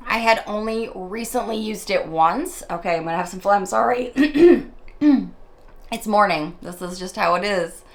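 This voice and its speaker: A woman talks straight to camera while doing her makeup, her morning voice still raspy and her pitch sitting in an ordinary middle range. She rambles a little nervously, stumbles over words and laughs at herself along the way. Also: fairly bright tone. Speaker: beauty vlogger. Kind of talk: chatty get-ready-with-me monologue.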